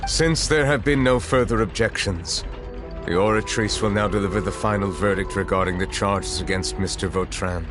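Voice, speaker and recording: A man voicing a deep, solemn dragon judge. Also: natural voice. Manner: formal, measured, grave. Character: ancient dragon in human form, a solemn chief judge. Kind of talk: video game voice acting. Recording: game audio with music behind.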